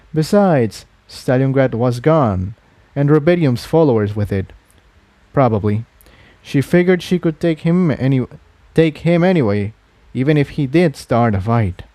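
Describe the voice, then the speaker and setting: A man's voice: mid-range voice, mellow, no echo. A man reading a scripted adventure story aloud as its narrator. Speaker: audiobook narrator.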